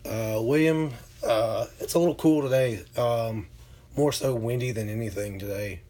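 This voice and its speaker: A man from Alabama hosts a relaxed livestream, talking straight to his viewers. His voice is hoarse from a cold and sits at an ordinary middle pitch. He rambles easily, full of fillers and pauses. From Alabama, USA. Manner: relaxed, drawn-out with many fillers. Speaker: livestream host. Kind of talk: casual chat reading viewer comments.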